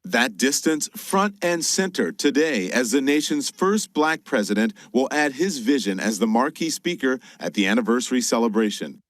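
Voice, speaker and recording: A male news anchor reads a report with lively, rising and falling pitch and crisp emphasis. His voice sits in a middle register. The studio sound is close and dry. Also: normal speed, few pauses, dry recording. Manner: crisp, emphatic newsreader delivery. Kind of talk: broadcast news narration.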